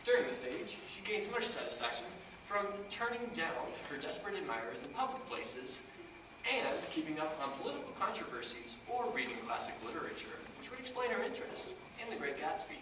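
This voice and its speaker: A man plays an awkward, analytical young know-it-all in a stage comedy. His wordy, formal delivery swings animatedly in pitch. It is recorded from a distance in a very reverberant theater with clear noise. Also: sing-song, fairly quick. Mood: lecturing, analytical. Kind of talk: amateur stage comedy acting. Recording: echoey theater, distant mic, clearly noisy.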